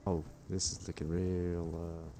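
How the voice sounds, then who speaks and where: A man roleplaying a laid-back frontier man on horseback, teasing in a dry, low-key way. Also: little pitch movement, a little slow. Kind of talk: in-character roleplay chat. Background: game music.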